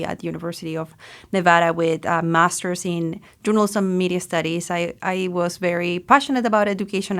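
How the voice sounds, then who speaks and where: A woman's voice: on the low side, a bit bright, smooth. A woman from Chile in her thirties, speaking as a guest on an interview podcast with emphatic passion. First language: Spanish. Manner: passionate, emphatic, with some restarts.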